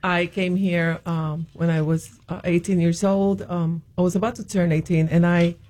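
A woman's voice: on the low side, little pitch movement, normal speed, darkish tone. Hesitant woman guest. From Puerto Rico. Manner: hesitant, many ums and restarts. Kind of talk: interview, telling her faith story.

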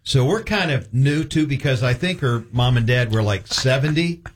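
Older male host, chatty and easygoing, lively up-and-down voice, stumbles over his restarts. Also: mid-range voice, normal speed. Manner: chatty, stammering restarts, laughs easily.

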